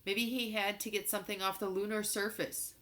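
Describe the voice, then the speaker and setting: A measured, reassuring father from a children's novel, read by a woman. The voice is bright and clear-edged, reasoning calmly with his child. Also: medium pitch, pitched above the performer's own voice, lightly acted. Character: calm reasoning father, middle-aged man.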